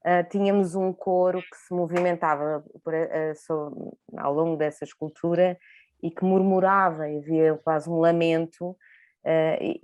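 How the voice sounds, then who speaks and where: A woman with a Portuguese accent speaks in a low-pitched voice as part of a panel discussion. Her delivery is spontaneous and reflective. She often halts to search for a word and rounds off points with short tag questions. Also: darkish tone. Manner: halting, searching for words, checks with tag questions.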